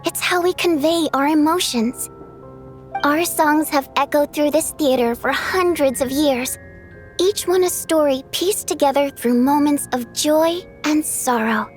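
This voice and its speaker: A woman playing a graceful, wistful and kindly water spirit singer in a video game dub, speaking in a measured, lyrical way. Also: high-pitched, soft background music. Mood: wistful, reflective.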